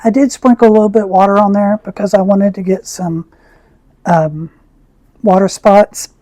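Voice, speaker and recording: A woman with a raspy voice, who often clears her throat as she chats through an art tutorial. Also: medium pitch, steady tone, no echo. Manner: slow, soft-spoken, frequent throat clearing. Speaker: art channel host. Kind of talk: casual art tutorial chat.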